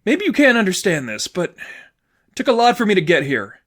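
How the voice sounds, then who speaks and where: An exasperated teenage girl, acted out by a man in an audiobook story, wounded and bitter. The pitch is pushed well above a usual male voice. The delivery is emphatic and sarcastic, halting when upset. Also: huge pitch swings, a bit bright, full character voice. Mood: tearful, hurt. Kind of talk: fan fiction audiobook dialogue.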